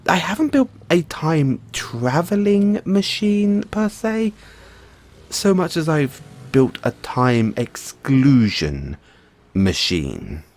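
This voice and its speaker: A man playing a nervous, geeky mad scientist boyfriend in an audio roleplay, explaining things haltingly to his partner. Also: fairly high pitch, big pitch swings, a little mellow, exaggerated voice. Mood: sheepish, awkward. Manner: hesitant, stammering, explaining at length. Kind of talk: audio roleplay acting, addressing the listener as partner.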